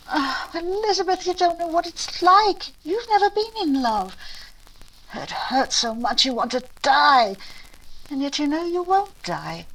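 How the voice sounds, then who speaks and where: A woman playing a gentle, heartbroken young Regency sister in a 1940s-style radio drama, sincere and plaintive. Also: high voice, a little hiss or hum, heavily acted, low-quality recording. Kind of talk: recreated 1940s radio play acting.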